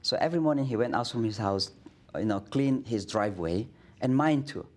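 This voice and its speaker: A man's voice: mid-range voice, expressive, fairly quick, a little mellow. A middle-aged man with an Indonesian accent, a guest imam telling stories in a studio interview, earnest, with many restarts and fillers.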